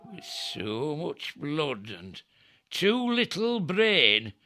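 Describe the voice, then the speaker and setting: Shrill, sharp-tongued camp railer. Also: male voice, sing-song, extremely slow, heavily acted. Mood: sardonic. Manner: mocking, declamatory, erratic delivery. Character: scurrilous, jeering railer in a Greek war camp. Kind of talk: theatrical Shakespearean audio drama acting.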